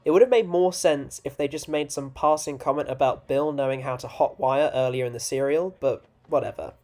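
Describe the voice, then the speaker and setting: A man's voice: mid-range voice, vocal fry, darkish tone. Male video essay narrator, informal and opinionated, scripted delivery with wry casual asides.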